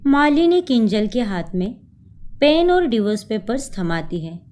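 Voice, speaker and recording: Slow-paced woman storyteller. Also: fairly high pitch, very animated, darkish tone. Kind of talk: scripted story narration.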